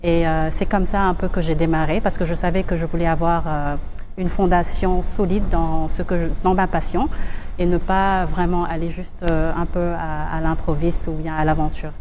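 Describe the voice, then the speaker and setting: A woman's voice: fairly low pitch, level tone, normal speed, a little mellow, slight background noise. A woman from Senegal, also raised in the US and Kenya, answering interview questions in a reflective, hesitant way with self-corrections. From Senegal, raised between Senegal, the US and Kenya. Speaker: interview guest, fashion designer. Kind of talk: spontaneous talk-show interview answers.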